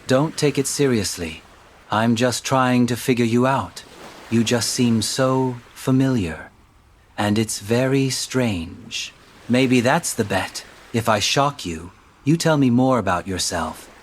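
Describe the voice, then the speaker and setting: A man voices a playful, skeptical young guide in an animated drama. He has a mid-pitched voice and talks in a teasing, offhand way, as if he is sizing people up. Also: takes it slowly, slight background noise. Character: teasing young Filipino man who knows a hidden kingdom. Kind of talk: amateur animated voice acting.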